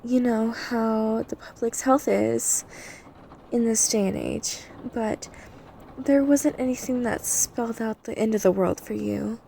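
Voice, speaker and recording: A woman playing an obsessive female vampire lab technician in an ASMR roleplay, coy, softly teasing and eerily polite as she explains herself to the listener. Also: on the high side, steady tone, unhurried, gravelly, a little hiss or hum. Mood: chatty, polite.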